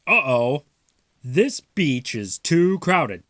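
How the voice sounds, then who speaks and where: A man voicing a gentle, cautious father mouse from a storybook, musing in an expressive, deliberate way. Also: on the high side, dry recording, studio quality, full character voice. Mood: caring, musing.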